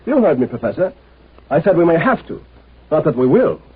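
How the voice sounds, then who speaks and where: An actor playing a curt, commanding galactic marshal in a 1950s radio drama, impatient and authoritative. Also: male voice, a little high, very animated, a little fast. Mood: brusque, impatient. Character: brusque, commanding male galactic military marshal. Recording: noisy, tinny 1950s radio recording.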